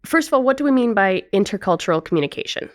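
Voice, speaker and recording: A woman's voice: mid-range voice, animated, rapid-fire, dry recording, clean studio sound. Upbeat American woman hosting a podcast. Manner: upbeat, enthusiastic. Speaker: podcast host. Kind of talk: podcast interview.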